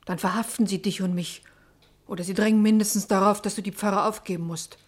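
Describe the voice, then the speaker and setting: A woman's voice: medium pitch, fairly even intonation, brisk, lightly acted. An actress playing an anxious, brittle pastor's wife and mother in a German radio play. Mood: anxious, strained.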